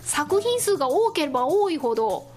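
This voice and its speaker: A woman with a high voice hosts a solo radio-style show. She chats casually to her listeners as she goes through news and announcements. Music plays underneath her talk. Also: very animated, normal speed, a little mellow.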